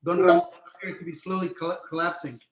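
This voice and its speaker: A man's voice: high voice, huge pitch swings, quick pace, background noise. A man reading stage directions as scripted narration for a screenplay table read. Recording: roomy, echoey home recording.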